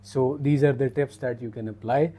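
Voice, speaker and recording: A man's voice: medium pitch, steady tone, moderate pace, dark tone. A man, hosting a conversational interview as an education consultant.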